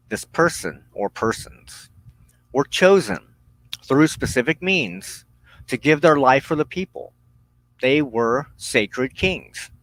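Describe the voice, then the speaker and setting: A man giving a spiritual teaching monologue as a broadcast host, solemn and deliberate, speaking in halting phrases with pauses. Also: mid-range voice, a bit bright, no room sound, rough recording. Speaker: religious teacher hosting a broadcast on witchcraft.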